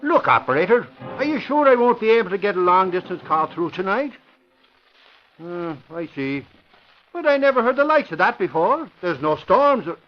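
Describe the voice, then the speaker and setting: An actor playing a blustery, excitable middle-aged male reporter in a vintage radio drama. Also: high voice. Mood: exasperated. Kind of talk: 1940s radio acting. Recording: muffled vintage radio recording with faint hiss.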